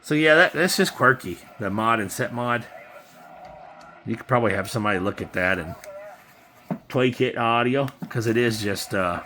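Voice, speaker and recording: A man's voice: medium pitch, expressive, moderate pace, pauses often, sharp tone. A man giving a casual, hands-on product demo, talking informally and in a rambling way as a seller. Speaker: seller demonstrating used radio gear. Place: indoors at a desk with radio equipment. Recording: faint background noise.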